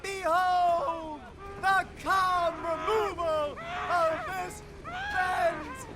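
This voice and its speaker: A grandiloquent game executioner with a very high, straining voice. He preaches pompously and very slowly, like a showman addressing the public, with a crowd around him. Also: expressive, very noisy, heavily acted. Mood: grand, soothing. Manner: grandiloquent, declamatory.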